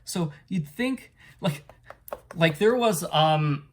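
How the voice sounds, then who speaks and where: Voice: medium pitch, lively intonation, slow pace, a little hiss or hum. Hesitant, rambling casual book reviewer. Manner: rambling and hesitant, often trailing off and chuckling.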